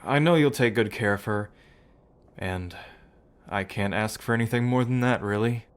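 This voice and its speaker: A man's voice: lowish voice, normal speed, clean tone, close to an everyday voice. A man acting a remorseful, affectionate brother in an ASMR roleplay, with a hesitant, heartfelt delivery. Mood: grateful, relieved.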